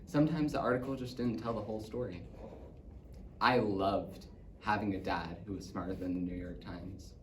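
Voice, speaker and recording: An earnest young boy, acted out by a man in a dramatic monologue. He is theatrical, eager and anxious, dropping into hush. The sound is distant and roomy with faint noise. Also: on the low side, moderate pace, voice pushed down, lightly acted. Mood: fond. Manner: earnest, theatrical, swinging from excited to hushed. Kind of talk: dramatic monologue in speech competition style.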